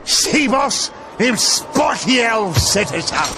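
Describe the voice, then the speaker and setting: An actor voicing an anxious, pleading orc or goblin shaman in a fantasy game, with coarse speech and a gravelly voice. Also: very high-pitched, big pitch swings, slow, over loud music, heavily acted. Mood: urgent, aggrieved. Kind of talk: fantasy game cutscene acting.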